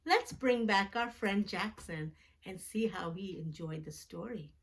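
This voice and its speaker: A woman hosting storytime as a teacher, reading a picture book aloud to young children with deliberate, expressive phrasing. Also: medium pitch, vocal fry.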